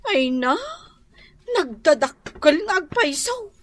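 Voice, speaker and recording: A woman with a Filipino accent playing an expressive, questioning young wife in a radio comedy drama, sing-song and exclamatory. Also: high voice, slow, a little hiss or hum, exaggerated voice. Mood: teasing.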